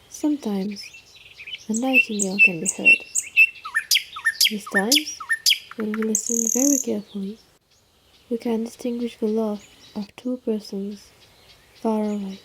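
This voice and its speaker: A woman reading a scripted story in a soft, breathy, hushed voice with gentle pauses. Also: mid-range voice, a little hiss or hum. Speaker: narrator.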